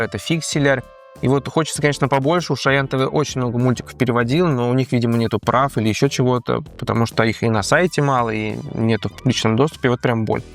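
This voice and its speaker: A man speaks as the host of a casual quiz-style show. His pitch sits in an ordinary middle range and his delivery is conversational. Music plays behind him.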